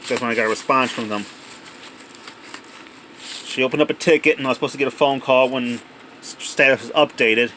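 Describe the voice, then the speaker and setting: A man's voice: mid-range voice, steady tone, brisk, pauses often, bright, forceful tone, slight background noise, low-quality recording. A man talking casually and off the cuff as he narrates an unboxing video, recorded indoors at home on a handheld cell phone. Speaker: amateur unboxing video maker.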